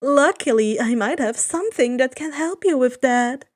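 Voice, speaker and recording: A woman's voice: sits high, animated, moderate pace. A woman voicing a coy, slightly unhinged male trickster god with a chirpy, sing-song manner in a fan fiction reading. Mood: coy, teasing.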